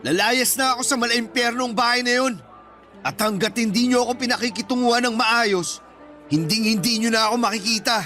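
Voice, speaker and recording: An embittered older brother in his thirties, worn down by providing for his family. His Filipino-accented voice is very high for a man and bright, full of hurt indignation. It is radio drama, with music underneath. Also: a little fast. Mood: bitter resolve. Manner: emotional, wounded, indignant.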